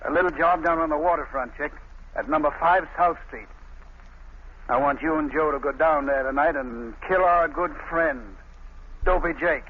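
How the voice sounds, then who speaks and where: A menacing male crime boss from an old radio drama, played by an actor. He is commanding and scheming, with a fairly high voice. The recording is very tinny with a faint hiss. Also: normal speed, lightly acted. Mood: coldly instructing. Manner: commanding, threatening. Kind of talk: 1940s radio acting.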